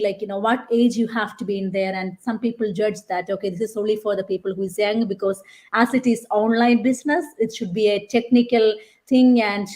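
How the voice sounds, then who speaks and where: A woman's voice: medium pitch, darkish tone, low-quality recording. A woman hosting a live online interview, chatty with many fillers and restarts. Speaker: host.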